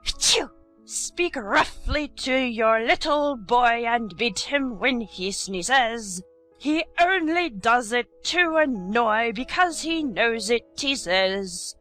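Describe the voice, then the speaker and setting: A narrator voicing a rude, bad-tempered Duchess with a hoarse growl in a storybook audiobook. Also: mid-range voice, expressive, pushed and bright, soft background music, voice pushed up. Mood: harsh singsong. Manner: brusque, slow, snappish.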